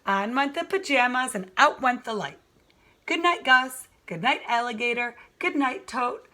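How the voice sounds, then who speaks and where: A woman teacher reading a children's storybook aloud in a deliberate, sing-song way for young listeners. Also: a little high, lively intonation, sharp tone.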